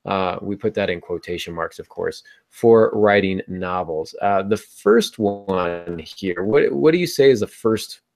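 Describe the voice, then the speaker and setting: A middle-aged man hosting a casual discussion over a video call, informal and given to joking asides. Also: on the low side. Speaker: podcast host. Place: home office indoors, video call.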